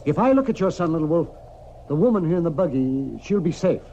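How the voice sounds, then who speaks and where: An actor playing a steady, protective frontier doctor in an old radio Western, talking in a deliberate, sometimes muttering way. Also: male voice, on the high side, highs cut off. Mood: wary, resolute. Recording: noisy old-time radio recording.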